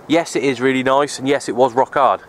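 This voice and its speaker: A man talks off the cuff in a mid-pitched voice. He is low-key and resigned, circling back over his points like a casual vlogger. The recording is outdoors on a handheld camera, with faint noise behind him. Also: fairly even intonation, fairly quick. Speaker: outdoor radio hobbyist vlogger. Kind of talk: casual rambling vlog narration. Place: outdoors on an open wooded hilltop. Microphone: handheld camera's built-in mic, held by speaker.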